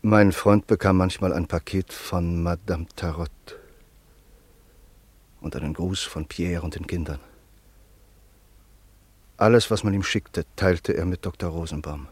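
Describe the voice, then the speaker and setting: A man narrating a radio play in a measured, sober way on a close studio mic. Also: sits low, husky, no echo.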